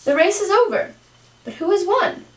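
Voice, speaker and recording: An officious dodo acted by a woman explains things in formal, wordy style. The puppet-show bird is animated in pitch and sounds roomy. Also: moderate pace. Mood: announcing. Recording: roomy, distant home microphone.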